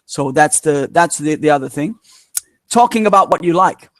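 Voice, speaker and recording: Give me a relaxed British man explaining things casually over a video call, with a somewhat high voice and a dry sound. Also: normal speed, no echo. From Manchester, England. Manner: relaxed and explanatory, many fillers and restarts. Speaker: interview guest, native English speaker.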